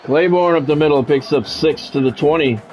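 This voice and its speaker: Higher-voiced American man calling a game. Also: moderate pace, darkish tone, soft background music, poor audio. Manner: conversational, switching into punchy announcer-style calls. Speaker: streamer, sports video game play-by-play announcer. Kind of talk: sports commentary mixed with casual chat to stream viewers.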